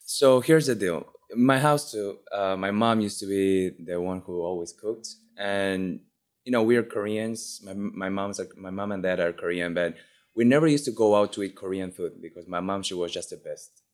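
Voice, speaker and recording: A man's voice: deep voice, normal speed. A man from Argentina, a chef, telling his story fluently and easily in an interview. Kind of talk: interview answers.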